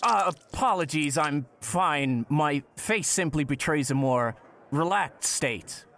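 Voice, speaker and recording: Slow-spoken, courteous, reserved gentleman. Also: on the high side, animated, slight background noise, full character voice. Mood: apologetic, composed. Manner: polite, measured, formal wording. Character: courteous, reserved male listener with an ailing face. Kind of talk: period audio drama dialogue.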